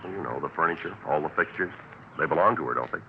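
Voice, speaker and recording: A noisy old radio recording carries an extremely low, very fast-talking police detective. He is clipped, matter-of-fact and unflappable, in the manner of a 1950s radio procedural. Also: creaky, lo-fi sound. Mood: businesslike questioning.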